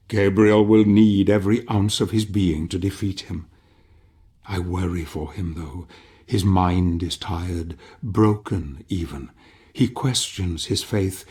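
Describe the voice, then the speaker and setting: An actor playing a grave, foreboding older storyteller, narrating a dark fantasy game in a solemn, theatrical way. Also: male voice, sits low. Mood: brooding, musing. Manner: solemn, theatrical, deliberate phrasing. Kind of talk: dramatic scripted game narration.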